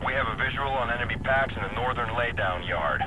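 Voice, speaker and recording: A man's voice: mid-range voice, fairly quick, lightly acted. An actor playing a military aircraft crewman in an action game, speaking in clipped radio jargon. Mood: businesslike. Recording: loud aircraft and helicopter noise.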